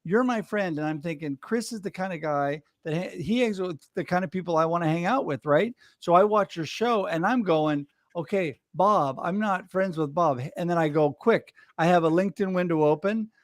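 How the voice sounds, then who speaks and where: A man hosting a livestream, chatting casually and telling stories with enthusiasm and quick laughs. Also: high-pitched, no echo, clean studio sound. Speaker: livestream host. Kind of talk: casual chat.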